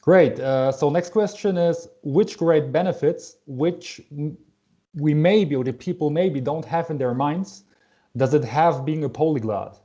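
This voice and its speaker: A man's voice: a little high, measured pace, warm tone, lo-fi sound. A man hosting an interview, asking questions casually and answering with short friendly agreement. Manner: friendly, brief agreeing replies. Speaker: interviewer host.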